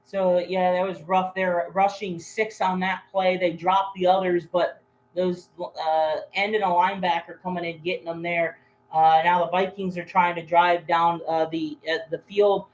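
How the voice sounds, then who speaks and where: This is a man acting as co-commentator on a sports stream. His delivery is casual and low-key, feeling its way through each update with a hesitant, halting rhythm. He sounds like he is chatting about the games more than announcing them. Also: little pitch movement, muffled recording. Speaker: co-commentator giving game updates.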